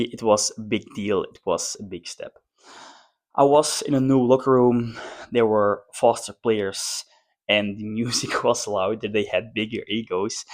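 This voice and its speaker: A man who is a non-native English speaker, presenting an explainer in short, emphatic phrases. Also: fairly low pitch. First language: not English. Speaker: presenter, former athlete. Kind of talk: semi-scripted explainer to camera.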